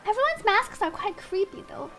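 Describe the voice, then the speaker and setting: A woman's voice: very high voice, sing-song, moderate pace, a bit bright. A woman livestreaming a video game, chatting casually with viewers and reading on-screen text aloud over game music.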